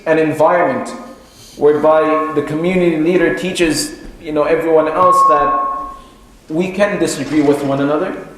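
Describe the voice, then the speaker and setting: A man giving a sermon as an imam, teaching and exhorting in a measured way and repeating phrases for emphasis. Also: on the high side, steady tone, very noisy. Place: mosque hall, speaking to a congregation. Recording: very echoey, reverberant room.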